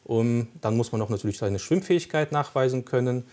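A man's voice: medium pitch, brisk, dark tone. A man whose first language is Russian, answering interview questions as a police recruitment officer, explaining step by step with frequent hesitations.

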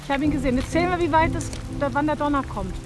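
A woman's voice: sits high, racing. A woman presenting a travel hike in casual chat, curious and enthusiastic, putting questions to her companion. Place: outdoors on a mountain trail.

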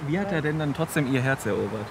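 A man's voice: mid-range voice, animated, fast. A man working as a reporter, chatting casually with people he meets outdoors by a river and laughing often. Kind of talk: casual street interview. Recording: outdoor noise.

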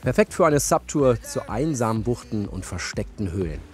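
A man's voice: medium pitch, sing-song, moderate pace. A man narrating a travel documentary in scripted reporter style. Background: background music.

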